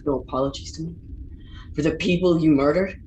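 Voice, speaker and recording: A woman's voice: low voice, fairly even intonation, fairly quick, mellow, lo-fi sound, slight background noise, lightly acted. A woman playing an exhausted, vengeful dying male scientist in a dramatic staged reading, grim and strained. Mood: accusing.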